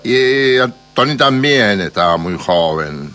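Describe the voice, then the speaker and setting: A man's voice: lowish voice, lively intonation, slow pace, sharp tone, faint music behind. An older man with a Rioplatense Spanish accent, a radio host chatting casually and telling stories from his past. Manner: reminiscing, storytelling.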